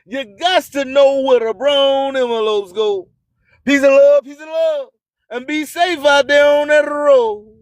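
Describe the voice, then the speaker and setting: A man's voice: very high voice, fairly even intonation, a little slow, dry recording. A man hosting an internet radio show, delivering a motivational spoken-word monologue in a preachy manner full of repeated, rhyming catchphrases.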